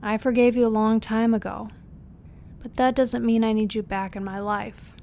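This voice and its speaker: A woman playing a guarded but forgiving character in an audio drama, measured and frank. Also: on the high side, steady tone, vocal fry, a little mellow, slight background noise. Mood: firm, reflective. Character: firm, composed woman, guarded yet forgiving.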